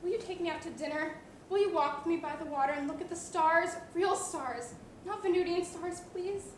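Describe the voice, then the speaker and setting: A woman's voice: extremely high pitch, normal speed. A young woman playing an anxious, exasperated wife in a stage comedy, urgent and insistent, tending to stammer. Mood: pleading. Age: teens or young adult. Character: anxious, exasperated wife convinced her television is alive. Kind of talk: theatrical stage comedy acting. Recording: very echoey hall, distant mic, noisy.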